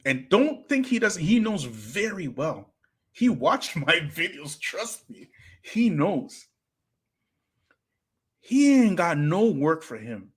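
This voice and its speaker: A man hosting a reaction livestream, preachy and animated, swinging between loud and hushed delivery. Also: a little high, slow pace, husky. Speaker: livestream host.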